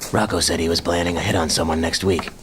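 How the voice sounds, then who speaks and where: A man's voice: low voice, steady tone, fast, background noise, close to an everyday voice. A man playing a self-important, bumbling crime fighter who poses as a mysterious specter, pompous and theatrical, in a comic superhero audio drama. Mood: earnest, scheming.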